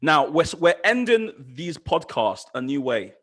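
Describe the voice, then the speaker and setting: A man's voice: a little high, sing-song, measured pace, a bit bright. A man hosting a podcast with eager enthusiasm, repeating words and restarting his sentences as he talks. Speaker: podcast host.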